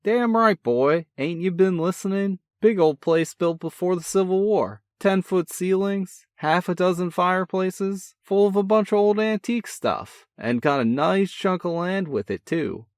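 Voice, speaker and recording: A man reading a story, voicing a boisterous, excitable older brother who talks loud and folksy. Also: high voice, a little slow, few pauses, no room sound, clean studio sound, pitched above the performer's own voice. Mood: excited. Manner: loud, folksy, keeps calling his brother kid. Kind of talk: audiobook character voice.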